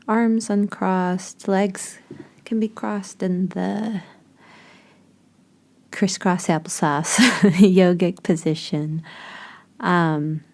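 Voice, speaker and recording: A woman leading a guided meditation in a soft, breathy voice with gentle, unhurried, spaced phrases. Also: medium pitch.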